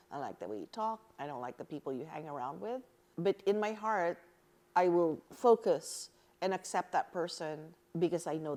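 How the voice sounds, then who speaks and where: A middle-aged woman from the Philippines, an interview guest telling personal stories in an earnest, animated way and laughing easily. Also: on the low side. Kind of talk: reflective personal storytelling.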